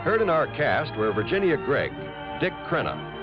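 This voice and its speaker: A man's voice: a little high, big pitch swings, moderate pace. An American man announcing a 1950s radio show in a formal scripted style. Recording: muffled vintage radio recording with music.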